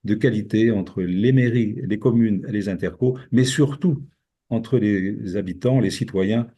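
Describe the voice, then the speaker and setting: An older man with a low, very husky voice and a somewhat mellow tone. He speaks as a mayor setting out his views, explaining earnestly and rephrasing his points for emphasis. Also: unhurried. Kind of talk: interview answer giving opinions.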